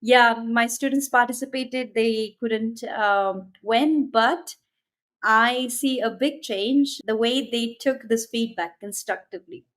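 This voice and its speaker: A woman's voice: a little high, slow pace, fairly bright tone. A woman teacher speaking hesitantly in a panel discussion, with ums and uhs.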